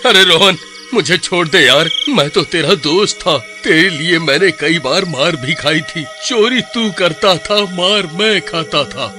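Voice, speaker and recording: Hapless cartoon village man, played by a male actor: high, whining, pleading, loud music underneath. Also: a little slow, close to an everyday voice. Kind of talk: Hindi cartoon story acting.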